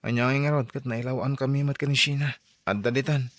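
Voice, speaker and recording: An actor playing a sheepish young college student in a radio drama, hesitant and deferential as to a parent. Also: male voice, mid-range voice, fairly even intonation, fairly quick, close to an everyday voice. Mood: apologetic. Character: sheepish young college student son, apologetic to his mother.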